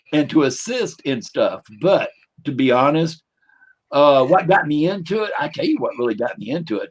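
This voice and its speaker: An older man, a demonologist guest in a casual livestream interview, talking in a rambling, folksy way with jokey asides. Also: mid-range voice.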